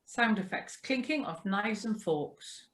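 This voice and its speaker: Woman, medium pitch, scripted stage-direction reader, short cue phrases, video-call reading. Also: lively intonation, slow, a bit bright. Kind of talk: scripted reading of sound cues.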